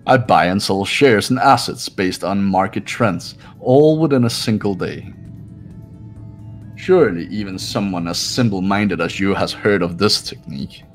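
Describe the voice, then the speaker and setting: A man acts out a haughty young male heir from a video game. His voice is low, and he talks down to everyone with measured, pompous superiority. Music plays clearly behind him. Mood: pompous, self-satisfied. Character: arrogant, condescending wealthy young male heir. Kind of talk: visual novel game voice-over.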